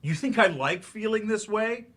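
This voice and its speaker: A man's voice: high voice, lively intonation, moderate pace, fairly bright tone. An actor in a staged play reading, playing a bitter, grieving, sarcastic man who teaches remedial English and speaks defensively and emphatically. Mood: anguished, angry.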